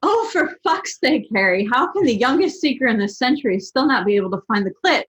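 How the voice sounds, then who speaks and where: A woman's voice: a little high, big pitch swings, normal speed, fairly bright tone, lo-fi sound. A woman voicing a cheeky, impatient teenage witch girl who teases and huffs. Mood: teasing. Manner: teasing and huffy.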